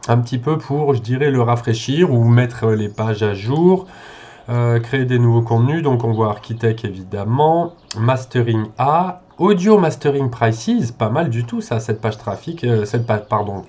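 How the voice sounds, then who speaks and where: Guy doing an off-the-cuff screen walkthrough, mid-pitched voice, kinda hesitant, thinks out loud as he goes. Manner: conversational, hesitant, often punctuating with check-in tags. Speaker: SEO consultant presenting a website audit. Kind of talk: unscripted screen-share commentary, thinking aloud.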